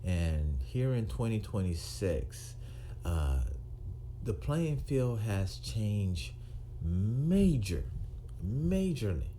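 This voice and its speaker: Man, 60s, retired cop vlogging; unhurried, halting monologue with a faint hiss behind it. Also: lowish voice, sing-song, mellow. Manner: halting, with frequent ums and restarts. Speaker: retired police officer turned video maker. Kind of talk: unscripted monologue to camera.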